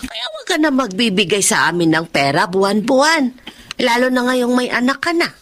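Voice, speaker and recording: A woman's voice: on the high side, big pitch swings, moderate pace, fairly bright tone. An actress playing an aging, kind foster mother in a radio drama, fussy and tender, with sighs over her sore back. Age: older.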